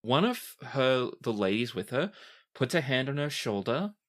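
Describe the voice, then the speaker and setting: Low-voiced, lively woman narrating as game master. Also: moderate pace. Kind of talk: narrating a tabletop role-playing game.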